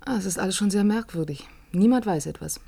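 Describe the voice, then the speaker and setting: An actress playing a skeptical, inquisitive office employee in a science-fiction radio play, questioning and matter-of-fact. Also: female voice, medium pitch, fast, gravelly, warm tone, husky. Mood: pensive, dissatisfied.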